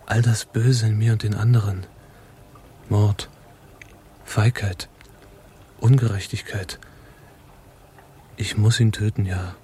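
A man's voice: on the low side, little pitch movement, slow, long pauses, dark tone, slight background noise. A man in a radio play playing an idealistic, anguished revolutionary, speaking earnestly and reflectively. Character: idealistic, anguished male revolutionary steeling himself to kill.

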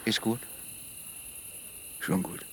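Radio drama role: subdued, grieving man, few words, slow delivery, faint insect noise behind. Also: pushed and bright. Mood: grieving, withdrawn. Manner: terse, subdued. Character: grieving, withdrawn man wanting to be left alone. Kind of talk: quiet radio drama dialogue.

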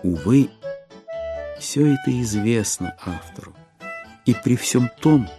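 A man narrating a literary audio drama in an expressive, theatrical way with deliberate dramatic phrasing. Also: fairly low pitch, vocal fry.

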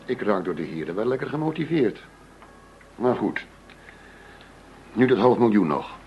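A blustering police chief in a radio play, with a low voice that swings widely in pitch. He is blunt, fed up and demanding. The recording is dull and muffled. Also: very animated, normal speed, a little hiss or hum. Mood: irritated, pressing. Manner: brusque, combative, sarcastic. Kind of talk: Dutch radio play acting.

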